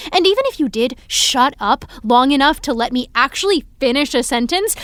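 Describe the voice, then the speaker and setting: A woman's voice: high voice, dry recording. An actress playing a guarded young woman who lashes out at her best friend with cutting sarcasm. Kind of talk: web series drama acting.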